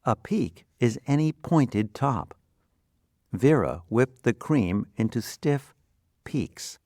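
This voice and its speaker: A man reading scripted educational narration as a vocabulary teacher, with deliberate, instructional enunciation. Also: mid-range voice, expressive, warm tone, no room sound, studio quality.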